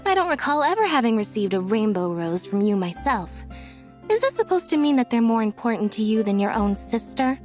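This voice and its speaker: A woman playing a calm, dry-spoken young woman in a video game. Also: fairly high pitch, fairly quick, soft background music.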